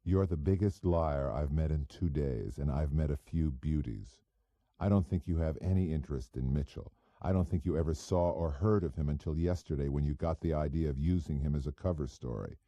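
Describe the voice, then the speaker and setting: A man playing a terse, guarded hardboiled detective with a deadpan, challenging manner in an audiobook. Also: extremely low pitch, brisk, dry recording. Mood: quiet challenge.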